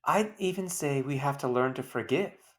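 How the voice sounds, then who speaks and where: A woman's voice: rumbling low, normal speed. Woman playing a firm, wry storybook mother. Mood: forgiving, firm. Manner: pointed questions, firm instructions. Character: firm, wry mother scolding then reasoning with children.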